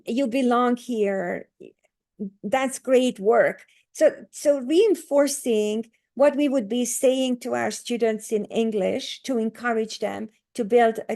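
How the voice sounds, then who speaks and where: Woman, earnest and explanatory. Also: on the high side. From Hungary. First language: Hungarian. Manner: earnest, explanatory, lively with many fillers. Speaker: guest author and educator. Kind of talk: podcast interview.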